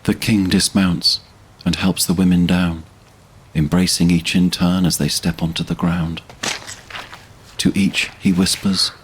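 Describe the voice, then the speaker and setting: A man narrating a fantasy audio drama in a solemn, measured manner. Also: low voice, level tone, a little hiss or hum.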